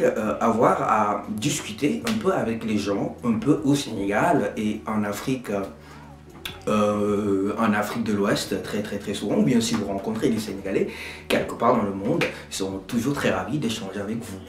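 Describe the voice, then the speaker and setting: Slow, patient man teaching, echoey room. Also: medium pitch. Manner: spells words out letter by letter, slow and patient. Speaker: language teacher. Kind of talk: Wolof lesson in French. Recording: echoey room with faint music behind.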